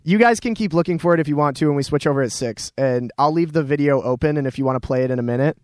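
A man's voice: on the high side, a little mellow, no echo, studio quality. A young man hosting a college radio show, chatting casually and rambling with lots of fillers. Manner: quick, rambling, filler-heavy. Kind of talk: casual on-air chat. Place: radio studio. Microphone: studio mic.

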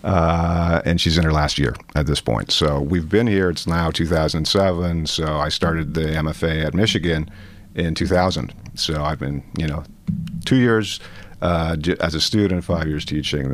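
A man in his thirties, born in Ireland with a Canadian accent, chatting as an interview guest in a relaxed, thoughtful way with many fillers. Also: extremely low pitch, normal speed. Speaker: poet guest. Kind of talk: casual interview conversation.

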